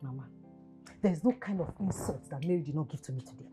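A woman's voice: deep voice, quick pace, warm tone, faint music behind, natural voice. A woman with a Nigerian accent acting an aggrieved, quarrelsome married daughter in a family drama, indignant and given to emphatic repetition.

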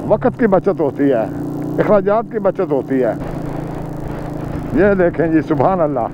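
An older man with a Pakistani Urdu accent talks from the seat of a motorcycle in a fairly high voice, chatty and guide-like. The audio is dull and muffled, and engine and wind noise run loud behind him outdoors. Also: expressive, unhurried. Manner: chatty guide, pointing out sights, reciting short prayers along the way. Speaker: moto vlogger. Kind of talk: casual travel commentary while riding. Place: outdoors on a motorcycle on mountain roads. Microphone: helmet or bike-mounted camera mic.

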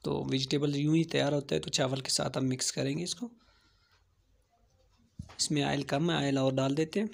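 A man's voice: on the high side, fairly even intonation, moderate pace, gravelly, long pauses. A man narrating a home cooking tutorial, giving plain step-by-step instructions and repeating key phrases. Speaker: home cooking channel host.

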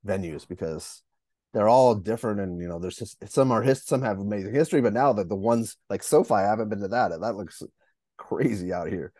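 A man's voice: fairly low pitch, fairly quick, dark tone, dry recording, studio quality. A middle-aged man hosting a casual interview over a video call, asking chatty questions. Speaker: interview host. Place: indoors, small room, on a video call.

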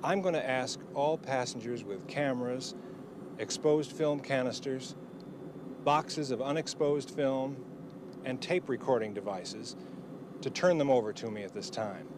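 A bureaucratic military official played by a middle-aged man in a film drama. His voice is medium in pitch, courteous and formal, announcing over a handheld microphone with clear cabin noise. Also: measured pace, close to an everyday voice. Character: polite, bureaucratic male Air Force official. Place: inside an airliner cabin. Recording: noisy airplane cabin announcement.

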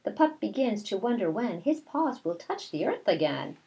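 A middle-aged woman, a kindergarten teacher, reading a picture book aloud in a deliberate, expressive way with asides to young children. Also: mid-range voice. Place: small bedroom at home, indoors.

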